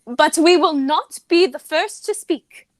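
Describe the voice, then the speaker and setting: A woman's voice: sits high, a little slow, dry recording. A woman acting a haughty, dignified young society lady in a radio play comedy, speaking in a formal, declarative way.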